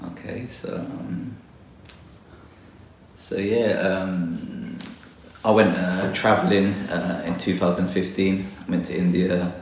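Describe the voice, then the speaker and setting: A man's voice: low voice, little pitch movement, takes it slowly, heavy reverb, a little hiss or hum. A man from London, a guest botanist, talking reflectively in a casual interview, hesitant with many fillers. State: a little nervous.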